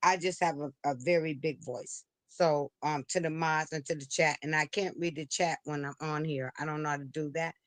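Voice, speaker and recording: A woman's voice: low-pitched, fairly even intonation, moderate pace, few pauses, no echo. A woman calling into a livestream, speaking hesitantly with many restarts and fillers. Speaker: livestream caller. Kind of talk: call-in commentary. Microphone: remote call into a streaming studio.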